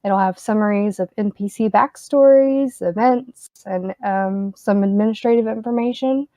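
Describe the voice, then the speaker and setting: A woman's voice: mid-range voice, moderate pace, dark tone, no room sound, clean studio sound. A woman on community staff, reading out and answering questions casually, joking between answers. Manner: casual, joking, with light laughs.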